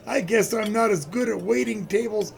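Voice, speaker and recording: A man playing a cheerful folksy cafe owner who tells his story in a radio play, with a creaky voice. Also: sits high, a little slow, soft background music, heavily acted. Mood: flustered, glad.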